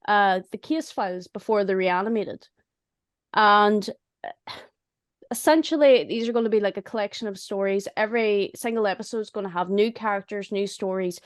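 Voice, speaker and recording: A woman's voice: medium pitch, smooth, no echo, clean studio sound. A woman chatting casually and enthusiastically in a colloquial way, as a creator on a panel. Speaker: audio drama creator on a panel. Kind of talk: casual chat.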